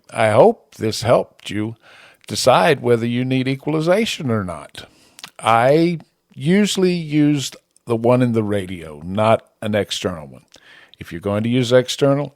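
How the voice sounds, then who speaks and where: A man with a fairly low voice presents a tutorial in a plain, measured way. He speaks in short, clear statements close to a podcast microphone. The sound is quite dry, with little room around it. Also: expressive, darkish tone, no room sound. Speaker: presenter of an amateur radio tutorial. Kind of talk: scripted tutorial narration. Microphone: close broadcast podcast microphone.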